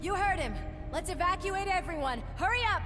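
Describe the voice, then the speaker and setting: A woman's voice: extremely high pitch, a little fast. A woman playing a loyal, concerned police officer in a video game, talking in an informal, caring way. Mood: alert, urgent.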